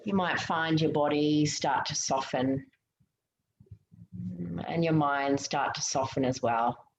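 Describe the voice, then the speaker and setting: A woman leading a guided meditation in a soothing, gentle way, giving unhurried instructions with pauses. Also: deep voice. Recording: muffled, roomy, noticeable background hiss.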